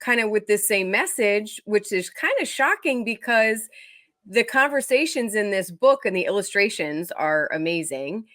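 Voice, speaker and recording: A woman's voice: medium pitch, expressive, moderate pace, sharp tone, dry recording. Lady hosting a chatty interview, voice goes up and down a lot, really dry sound. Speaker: talk show host. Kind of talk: casual interview chat.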